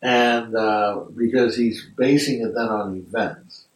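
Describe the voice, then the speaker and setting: A man's voice: on the low side, fairly even intonation, takes it slowly. A man leading a philosophy class discussion, thinking aloud in a reflective way with some restarts. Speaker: discussion group leader. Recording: echoey room, mic far off.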